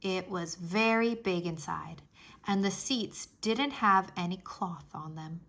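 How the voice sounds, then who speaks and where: A woman narrating a children's book aloud in an expressive, scripted storytelling style. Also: lowish voice, slow.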